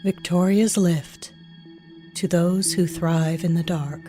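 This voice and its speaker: A woman playing a knowing, darkly wry storyteller, narrating an audio drama in a measured, ominous way and addressing the listener directly. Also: on the low side, steady tone, warm tone. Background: music.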